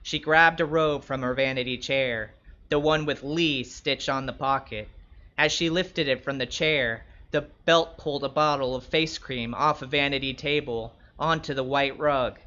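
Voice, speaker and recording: A man playing a wry, wary young escort who narrates his own story in measured, descriptive literary prose. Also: mid-range voice, steady tone, bright, forceful tone, lo-fi sound, close to an everyday voice. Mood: wary, suspicious. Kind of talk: first-person literary narration.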